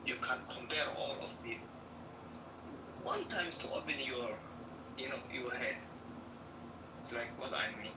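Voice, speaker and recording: A man from Somalia speaking in halting, hesitant English, with many fillers and restarts, in a casual chat in an online audio room. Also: medium pitch. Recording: roomy, distant, noisy online audio.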